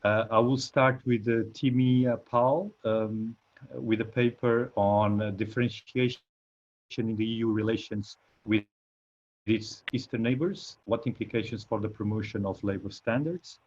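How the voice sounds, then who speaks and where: A man's voice: fairly low pitch, fairly even intonation, normal speed, darkish tone. A man chairing an online academic panel, speaking hesitantly with many fillers and restarts over a video call. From Portugal. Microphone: computer mic on a video call. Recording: dull lo-fi video call audio.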